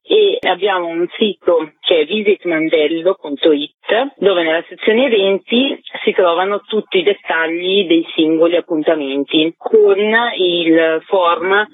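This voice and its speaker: A woman's voice: on the low side, measured pace, no echo. A woman giving a radio interview over the phone as a festival organiser. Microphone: telephone. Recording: narrow, tinny phone line.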